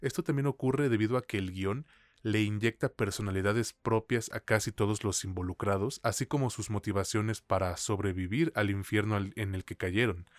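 Low-voiced Mexican man, dry close sound. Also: no room sound. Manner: informal, peppers talk with slang. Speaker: podcast co-host. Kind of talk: casual film review chat.

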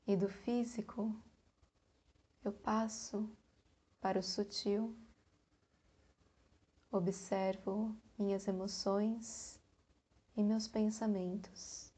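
A Brazilian woman guiding a meditation in a soft, breathy, calm voice, leaving long pauses between short phrases. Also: medium pitch. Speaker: meditation guide. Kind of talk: guided meditation.

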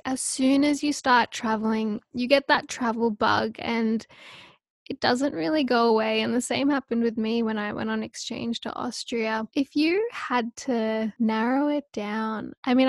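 Young woman host, enthusiastic questioner, high voice, dry sound. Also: steady tone, moderate pace, dry recording. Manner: enthusiastic, upbeat, laughs along. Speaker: interviewer host. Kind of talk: casual conversational interview.

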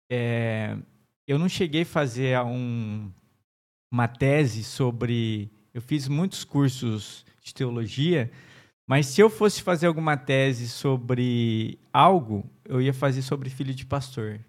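A man's voice: mid-range voice, slow. A man hosting an interview, asking a pastor questions in a chatty, enthusiastic way. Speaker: interview host. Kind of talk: casual interview conversation.

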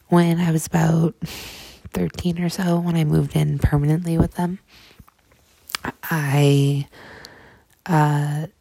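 A young woman in her twenties, hosting a podcast and telling her own story in a soft, halting way, with many fillers and restarts. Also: sits low, gravelly, husky, dry recording. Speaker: podcast host. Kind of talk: personal storytelling monologue.

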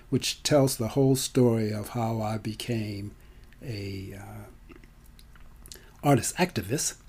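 A man in his seventies with an American accent, telling his story as a live presentation with theatrical, deliberate phrasing and dramatic emphasis. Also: mid-range voice, mellow. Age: 70s. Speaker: storyteller and speaker.

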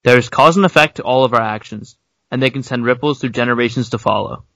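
Fast-talking young male co-host, dry sound. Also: mid-range voice, fairly bright tone, no echo. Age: young adult. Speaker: podcast co-host, student. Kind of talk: scripted intro and brief greeting.

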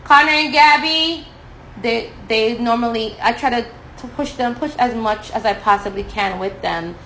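A woman's voice: on the high side, normal speed, a bit bright, a little hiss or hum, low-quality recording. A woman in her thirties from Jamaica with a Jamaican accent, hosting a podcast and chatting in a storytelling way straight to her listeners. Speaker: podcast host. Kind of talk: casual podcast monologue.

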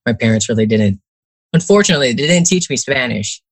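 Young man with a husky voice. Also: mid-range voice, animated, quick pace, dry recording. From New Jersey. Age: young adult. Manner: chatty, many fillers, acts out quotes. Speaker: guest author. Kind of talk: casual interview talk.

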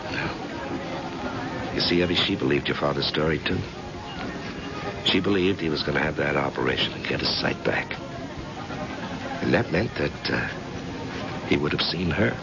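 An actor playing a steady, protective man facing danger in an old-time radio drama, with a gravelly voice. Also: very deep voice, normal speed, long pauses, heavily acted. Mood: gentle, subdued. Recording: dull, noisy old radio recording.

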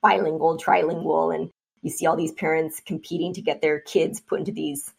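A woman from Vietnam speaking as an interview guest, reflective and measured, often pausing with fillers. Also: low-pitched, steady tone, creaky, husky. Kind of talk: conversational interview.

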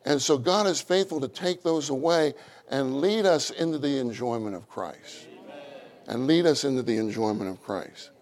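A middle-aged man with a loud voice, preaching a sermon with animated, acted-out quotes. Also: normal speed. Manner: animated, acts out quotes with rising pitch.

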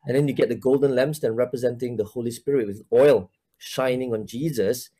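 A man's voice: mid-range voice, fairly even intonation, a little fast, warm tone. A man in his forties from Malaysia, a church minister talking casually in an interview, hesitant, with many fillers and restarts.

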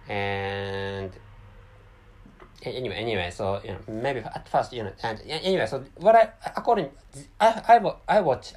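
A man speaking English as a second language, talking alone in a halting way, with many restarts and self-corrections. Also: medium pitch, a little mellow. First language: not English. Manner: apologetic about his English. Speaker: commentator. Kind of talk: unscripted solo monologue.

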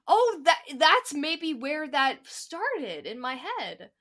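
A woman's voice: high voice, sing-song, takes it slowly, a bit bright. A woman co-hosting a podcast in casual chat, playful and giggly. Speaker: podcast co-host.

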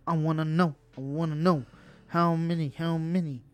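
A man's voice: a little high, fairly even intonation, normal speed, clean tone. A man co-hosting a podcast in casual chat, emphatic and prone to repeating himself. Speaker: podcast co-host. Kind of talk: casual nerd-news chat.

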